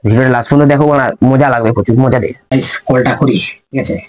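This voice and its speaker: A man's voice: mid-range voice, steady tone, very fast, darkish tone, poor audio. A young man, chatting casually and crudely as a prank-call video host. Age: young adult. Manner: informal, slangy, crude. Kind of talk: casual chat to viewers and prank calls.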